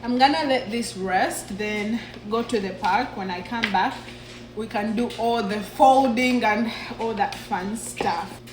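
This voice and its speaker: A woman's voice: fairly high pitch, unhurried. A young woman who grew up in Uganda, chatting casually as she bakes in her home kitchen and thinks aloud while she works. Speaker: baking vlogger. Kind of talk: casual kitchen vlog talk. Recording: roomy kitchen with background noise.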